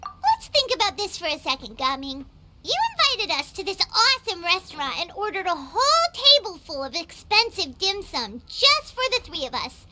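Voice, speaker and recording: A woman voicing a tiny, childlike, excitable fairy companion in a video game, with a squeaky, chirpy delivery. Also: normal speed, noisy background. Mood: excited, smug. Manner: speaks of herself in third person, chirpy. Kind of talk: video game character acting. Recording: game music behind the voice. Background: game music.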